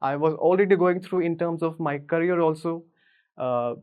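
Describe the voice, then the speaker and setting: A woman's voice: deep voice, moderate pace, smooth, phone-quality sound. An Indian woman in her twenties gives an earnest talk about her own life, switching between two languages mid-sentence. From India, Rajasthan. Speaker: motivational speaker. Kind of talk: personal life story talk.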